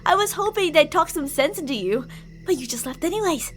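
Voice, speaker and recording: Very high-pitched obsessive young yandere played by a woman. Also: lively intonation, fairly quick, faint music behind. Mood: dejected, confessing. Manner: pleading, clingy, emotionally volatile. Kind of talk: ASMR roleplay, dramatic monologue to listener.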